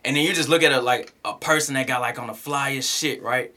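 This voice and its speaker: Bright-voiced man rambling tipsy. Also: mid-range voice, brisk. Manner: rambling, loose, laughing often. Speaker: podcast host. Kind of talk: casual drunk banter.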